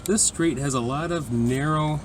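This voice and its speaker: A man's voice: on the high side, measured pace, noisy background. A man talking casually as a travel vlogger, in a rambling, hesitant way. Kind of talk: casual vlog talk.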